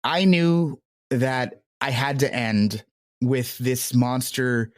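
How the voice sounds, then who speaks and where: A man with a medium-pitched voice talks as an interview guest about his creative work. He is reflective and conversational, recorded with very little room sound. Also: steady tone, slow pace, dry recording, clean studio sound. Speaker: podcast creator being interviewed.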